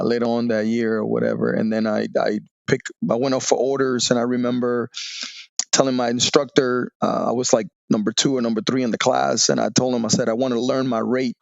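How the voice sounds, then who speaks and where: A Spanish-native man in his forties speaks English with a Dominican accent, his voice gravelly with fry. He pauses and restarts as he recalls things out loud. The recording is dull and lo-fi, with a dry, close sound. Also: mid-range voice, no echo. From Dominican Republic. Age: 40s. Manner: halting, with many restarts and fillers. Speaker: guest, Navy veteran. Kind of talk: reflective podcast interview.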